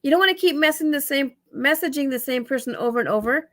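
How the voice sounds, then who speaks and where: A tired, dry-throated woman with a raspy voice gives a casual tutorial, rambling and correcting herself as she thinks aloud. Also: sits high, expressive, fast talker, fairly bright tone. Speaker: vlogger giving reselling tips. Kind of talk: casual screen walkthrough tutorial.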